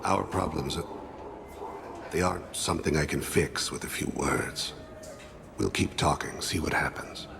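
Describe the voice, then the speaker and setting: An actor voicing a solemn, grieving alien assassin in a video game, speaking in a measured, halting, formal way with a rasp. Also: male voice, extremely low pitch, over music, full character voice. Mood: reserved. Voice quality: gravelly, breathy-edged rasp. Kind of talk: dramatic video game dialogue. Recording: constant loud ambient noise behind.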